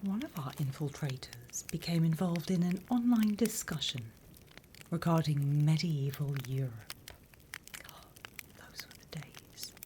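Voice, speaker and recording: A British woman voicing a sly, wryly menacing demon instructor in a hushed, conspiratorial ASMR roleplay whisper, close to the microphone. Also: low-pitched, lively intonation, a little hiss or hum. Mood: dry, instructive. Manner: measured, hushed, dryly conspiratorial.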